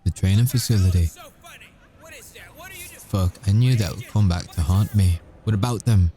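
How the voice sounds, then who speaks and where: Casting: male actor for cocky, haunted werewolf soldier in audio roleplay. Deep, very soft-toned voice, wry measured storytelling. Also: lightly acted. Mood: bitter, weary. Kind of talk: audio roleplay monologue, ASMR.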